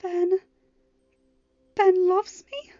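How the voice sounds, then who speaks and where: A woman's voice: extremely high pitch, level tone, very slow, mellow, dry recording, highs cut off, voice pushed up, exaggerated voice. A woman voicing a vulnerable teenage daughter with a scoffing streak, earnest and hesitant, in a storybook reading. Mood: astonished.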